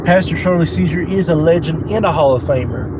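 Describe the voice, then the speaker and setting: A man's voice: fairly high pitch, fairly quick. A man in his thirties from Kentucky hosting a solo podcast, rambling and repeating himself while greeting live chat viewers. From Kentucky, USA. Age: 30s. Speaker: podcast host. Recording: loud background noise.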